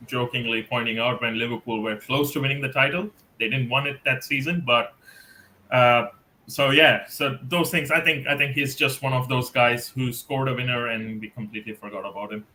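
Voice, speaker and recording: A man's voice: medium pitch, steady tone, moderate pace, sharp tone, a little hiss or hum, poor audio. A man co-hosting a casual football podcast, speaking hesitantly with many fillers. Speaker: podcast co-host.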